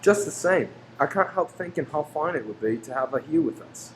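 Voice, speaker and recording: A man acting a gentle, hopeful, doting Victorian husband in an old-time radio play, soothing and earnest. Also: fairly high pitch, expressive, moderate pace, close to an everyday voice. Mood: concerned, wistful. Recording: roomy stage recording, faint hum.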